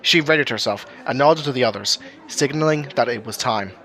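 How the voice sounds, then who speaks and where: A man's voice: medium pitch, brisk, fairly bright tone. A man narrating a scripted audio drama story. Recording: roomy echo, music underneath. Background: background music.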